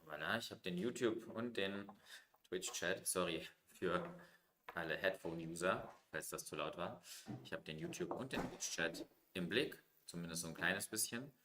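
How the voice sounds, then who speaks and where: A man's voice: deep voice, moderate pace, reverberant, noisy background. A man hosting a chess livestream, chatty and joking as he moves the conversation along. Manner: chatty and joking, keeps the talk moving. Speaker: stream host and chess commentator. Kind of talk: casual livestream chat.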